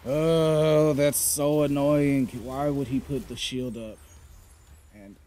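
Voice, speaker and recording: A man's voice: medium pitch, steady tone, slow pace, mellow. A young man giving sarcastic, trash-talking commentary while playing a game. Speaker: gamer. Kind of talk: gameplay commentary.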